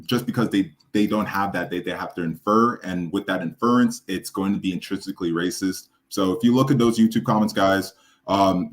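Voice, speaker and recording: A man with a deep voice chatting on a live stream about a debate he took part in. He speaks haltingly, with many restarts and fillers, and sounds earnest. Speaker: debate participant reacting to his own debate. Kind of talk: casual live-stream chat.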